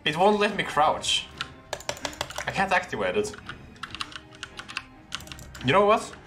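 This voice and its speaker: A young man casually commentating a horror game he streams, breaking into high surprised laughs. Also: sing-song, slow. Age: young adult. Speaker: gaming streamer. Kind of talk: casual let's-play commentary. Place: room at a desk with a gaming chair. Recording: game music playing behind. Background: game music and keyboard typing.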